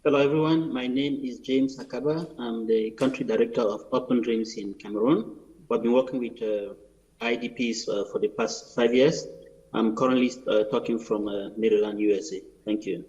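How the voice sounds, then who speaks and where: A man's voice: medium pitch, fairly even intonation, moderate pace, a little mellow. A man joining by video call as a nonprofit country director, formal, with hesitation fillers. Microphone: remote video call. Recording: dull remote call audio.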